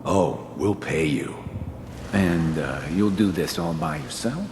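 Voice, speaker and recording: A man's voice: low voice, expressive, takes it slowly, reverberant, long reverb tail, noisy background, heavily acted. A man playing a hesitant, shifty client hiring a killer in a video game, tentative and folksy in manner. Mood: nervous, sly.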